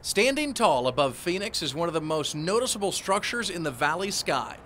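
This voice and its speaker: Bright, forceful American news narrator, male. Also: a little high, big pitch swings, moderate pace, a little hiss or hum. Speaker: TV news reporter. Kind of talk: scripted news package narration.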